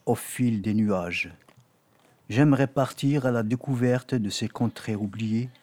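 A man's voice: mid-range voice, a little mellow, dry recording. A man from Algeria, a journalist and poet whose first language is not French, answering in an interview with many hesitations and reading a poem. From Algeria (Kabylie). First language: Kabyle or Arabic, not French. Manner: hesitant, many fillers and restarts.

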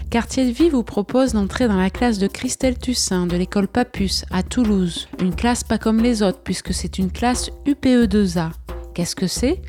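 A woman presenting a radio feature, reading a scripted narration in a measured, clear way. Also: mid-range voice, mellow.

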